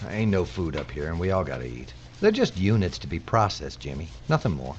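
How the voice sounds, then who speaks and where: A man's voice: deep voice, sing-song, brisk, dull audio, a little hiss or hum. A man playing a weary, conscientious younger prisoner on a grim work detail, earnest and exasperated, in an audio drama. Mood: weary, resigned. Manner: earnest, exasperated, plain-spoken. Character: weary, conscientious younger male prisoner working at processing bodies.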